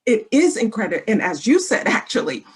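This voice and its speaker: Woman, native Haitian Creole speaker, husky tone, effusive teacher on a video call. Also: fairly high pitch, very animated, moderate pace. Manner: effusive and chatty, with restarts. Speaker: former third-grade teacher, meeting attendee. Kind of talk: casual comment in a video-call Q&A.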